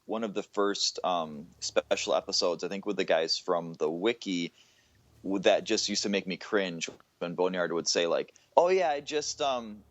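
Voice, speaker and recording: Low-voiced man chatting on a podcast. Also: moderate pace, dry recording, muffled recording. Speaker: podcast co-host. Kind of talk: casual gaming podcast chat.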